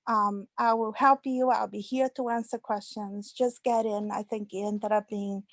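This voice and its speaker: A woman who teaches high school history, telling a classroom story on an online panel, with fillers. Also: steady tone, normal speed, no room sound.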